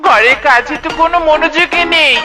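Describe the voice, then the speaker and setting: A woman's voice: high voice, brisk, close to an everyday voice. A woman voicing a bossy, dramatic wife in a comedy cartoon, scolding and exclaiming. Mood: nagging. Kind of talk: Bengali comedy cartoon acting. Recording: music under the voice.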